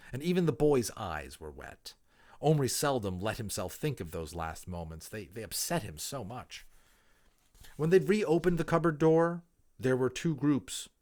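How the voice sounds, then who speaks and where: A man reading a children's novel aloud in a theatrical, emphatic manner, with deliberate pauses. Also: fairly low pitch. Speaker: amateur audiobook reader.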